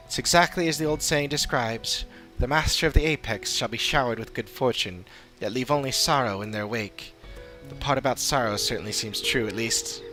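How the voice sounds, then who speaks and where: A man plays an earnest fantasy adventurer from a video game, speaking in formal, measured sentences. The mid-pitched voice is serious and sits over clear background music. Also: pushed and bright, lightly acted. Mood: grave, hopeful. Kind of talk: read-aloud video game dialogue.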